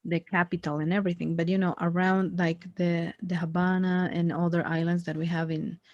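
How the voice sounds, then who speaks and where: A woman's voice: fairly low pitch, level tone, fairly quick, darkish tone, no echo, dull audio. A woman chatting loosely in a one-on-one conversation lesson, with many fillers and restarts. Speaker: conversation tutor. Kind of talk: casual conversation practice.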